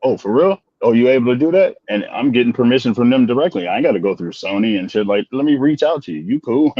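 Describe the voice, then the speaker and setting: A man's voice: mid-range voice, expressive, breathy edge, dry recording, lo-fi sound. An older man with grandkids, chatting casually on a podcast and laughing often between points. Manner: fast rambling with frequent laughs. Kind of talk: casual chat.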